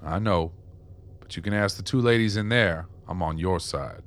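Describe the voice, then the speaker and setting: An actor playing a weathered, deferential outsider in a radio play, speaking in a calm, plain-spoken way. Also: male voice, sits low, moderate pace, close to an everyday voice. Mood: calm, conciliatory. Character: deferential, weathered male outsider under suspicion.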